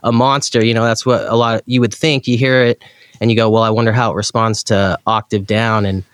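Clear-voiced man chatting casually, close and dry. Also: fairly low pitch, level tone, a little fast, fairly bright tone, no echo. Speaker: interview guest, guitar pedal enthusiast. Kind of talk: casual chat.

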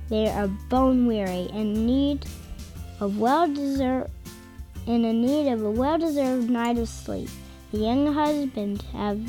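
A female performer playing a solemn announcer, giving deliberate scripted narration in an old-fashioned radio play. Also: on the high side, a little mellow. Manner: deliberate, storytelling.

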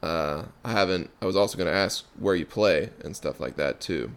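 A laid-back man hosting a podcast and chatting easily with his guest. His voice sits fairly low, and he fills his pauses with ums as he talks his way through each question. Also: gravelly. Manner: relaxed, many ums and restarts. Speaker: podcast host. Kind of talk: casual interview chat.

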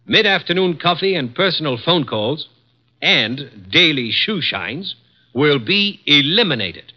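A man's voice: a little high, sing-song, a little slow. An actor playing a cold, mocking male executive in a 1950s radio drama. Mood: dictating. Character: cruel sneering male advertising executive. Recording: dull old radio broadcast.

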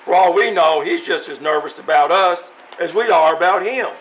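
A man's voice: high voice, normal speed, a bit bright, low-quality recording. A man playing an easygoing, good-natured teenage boy in an old-time radio comedy. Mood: reassuring.

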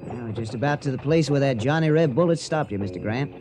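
A man playing a gentle, wistful young soldier in a radio drama, speaking in a measured, reflective way. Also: medium pitch, lively intonation, natural voice. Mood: wistful, resolute. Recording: noisy old radio drama recording.

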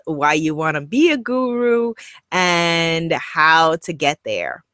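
A woman's voice: mid-range voice, dry recording, clean studio sound. A woman with a Guyanese accent hosting an online course lesson, upbeat and emphatic as she speaks straight to her viewers. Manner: upbeat, animated, emphatic and encouraging. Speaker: online course host and coach. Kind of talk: direct-to-camera e-course lesson.

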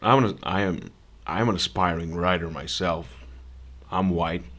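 Young man from Serbia, podcast host, blunt and profane, low voice, unhurried delivery. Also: fairly bright tone. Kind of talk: ranting monologue.

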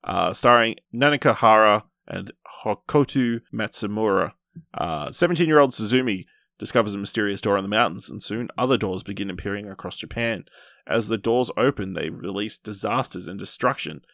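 A man's voice: lowish voice, gravelly, a bit bright, no room sound, clean studio sound. A man hosting a podcast and chatting casually about films. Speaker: podcast host. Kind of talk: casual film review chat.

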